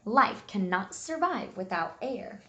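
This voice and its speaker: Slow, lively woman teaching young children. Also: mid-range voice, muffled recording. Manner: simple, instructional, enunciates key words deliberately. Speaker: early elementary school teacher. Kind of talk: read-aloud lesson for young children. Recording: echoey room sound.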